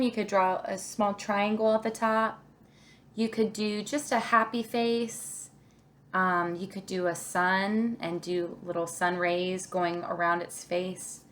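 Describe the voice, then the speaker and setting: Female kindergarten teacher, unhurried delivery, mid-range pitch, encouraging, thinks aloud while demonstrating a craft. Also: a bit bright. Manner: encouraging, thinking aloud, asks the listener questions. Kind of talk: children's craft lesson, talking through each step.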